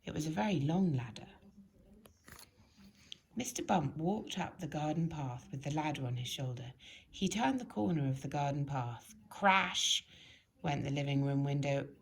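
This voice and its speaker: A woman narrates a children's storybook with a very animated, swinging pitch. Her voice sits a little low for a woman and has a creaky, gravelly edge. She tells it expressively, putting clear emphasis on key words. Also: moderate pace. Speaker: storybook reader.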